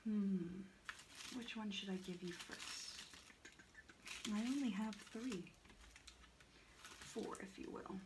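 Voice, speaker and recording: A woman's voice: medium pitch, slow, pauses often, natural voice. A woman whispering as sassy Aunt Flow. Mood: teasing. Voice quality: soft whispering. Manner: teasing, cheeky. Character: sassy personified monthly period, a female aunt. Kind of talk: ASMR roleplay. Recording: noisy recording.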